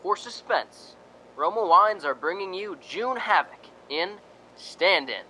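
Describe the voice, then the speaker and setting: A man's voice: animated, slow pace, bright, forceful tone. A man announcing a suspense drama and its sponsor in the style of 1940s radio. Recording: noisy recording with low rumble.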